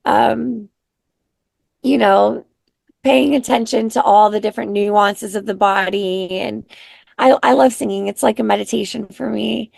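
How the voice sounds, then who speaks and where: Raspy, gravelly-voiced woman guest. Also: mid-range voice, fairly even intonation, no room sound. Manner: soft-spoken, unhurried, many ums. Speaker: guest, singer-songwriter. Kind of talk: casual interview chat.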